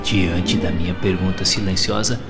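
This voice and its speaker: Male audio drama narrator: formal, solemn storytelling, fairly low voice in hall-like reverb. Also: fairly even intonation, moderate pace. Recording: long hall reverb, faint music. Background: faint music.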